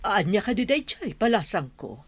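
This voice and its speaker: A woman's voice: mid-range voice, big pitch swings, moderate pace, slightly rough, no room sound. A woman playing an anxious middle-aged madam in a radio comedy drama, fretful and sometimes stammering. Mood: alarmed, insistent.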